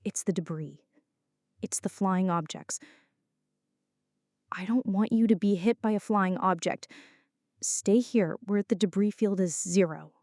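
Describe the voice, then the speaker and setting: A woman playing a flirty, anxious female friend in an intimate ASMR roleplay, speaking softly and dramatically, close to the listener. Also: medium pitch, a little slow, pauses often, dry recording, close to an everyday voice. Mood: scared, pleading. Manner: soft, close, dramatic pleading and confiding.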